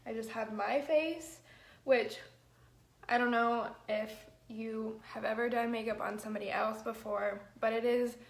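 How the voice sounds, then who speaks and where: A young woman with an allergy-hoarse, crackly voice, chatting through a makeup livestream, rambling with lots of "like" and "um". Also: fairly high pitch, fairly even intonation, unhurried, roomy sound. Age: young adult. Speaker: makeup vlogger. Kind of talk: chatty get-ready-with-me livestream. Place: small bedroom, indoors. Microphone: built-in mic of a camera at arm's length.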